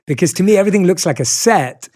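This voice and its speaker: A man's voice: high-pitched, lively intonation, quick pace, darkish tone, no room sound, clean studio sound. Upbeat British man hosting a podcast. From Britain. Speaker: podcast host. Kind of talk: podcast interview, casual chat.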